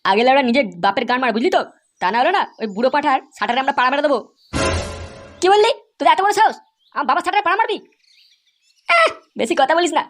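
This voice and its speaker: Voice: high voice, animated, quick pace, long pauses, fairly bright tone, faint music behind. A performer voicing a cheeky, foul-mouthed young village lout in a comedy cartoon, taunting and crude. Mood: indignant. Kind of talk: exaggerated comedy cartoon voice-over.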